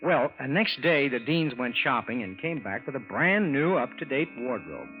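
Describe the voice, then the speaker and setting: An actor playing a folksy, puzzled American landlord in 1940s radio style, plain-spoken and hesitant. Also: male voice, medium pitch, animated, moderate pace, natural voice. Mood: folksy narrating. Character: puzzled, folksy husband and landlord narrating his story. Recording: muffled vintage radio recording with music.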